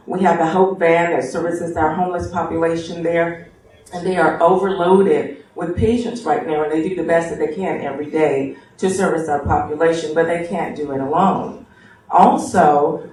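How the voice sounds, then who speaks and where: A man speaking as a panel guest in a debate, earnest and halting as he explains things. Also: a little high, a little mellow. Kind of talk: debate remarks. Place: tobacco shop, live radio show. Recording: very echoey room from far off.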